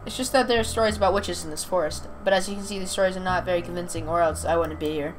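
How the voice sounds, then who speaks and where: A woman voicing an ordinary young man in a visual novel, curious, blunt and quick to take offense. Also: fairly low pitch, fairly even intonation, noisy background, close to an everyday voice. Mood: defensive.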